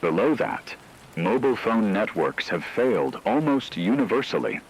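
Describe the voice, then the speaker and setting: A man playing a grave radio anchor who delivers scripted news of a global catastrophe in a solemn, measured, formal way. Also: on the low side, very animated, slightly rough, full character voice, rough recording. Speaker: emergency broadcast announcer.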